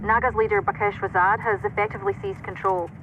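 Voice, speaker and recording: Female radio intel operator for a video game: brisk, matter-of-fact, thin static-laden transmission. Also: mid-range voice, fairly even intonation. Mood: focused, businesslike. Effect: radio filter. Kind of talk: video game cutscene acting. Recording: tinny, noisy military radio link.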